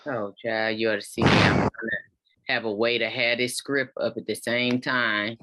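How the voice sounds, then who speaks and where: A woman's voice: very deep voice, little pitch movement, moderate pace, background noise. A woman taking part in a virtual table read, chatting in a colloquial, folksy way over a video call. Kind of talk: casual chat. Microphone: video call microphone.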